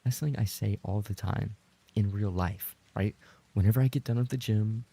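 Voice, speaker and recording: A lowish male voice, thick with fry and very husky. He is a streamer talking casually to his chat, rambling along with playful banter. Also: fairly quick, dark tone. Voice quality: gravelly, husky. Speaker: livestreamer and ASMR voice actor reading chat.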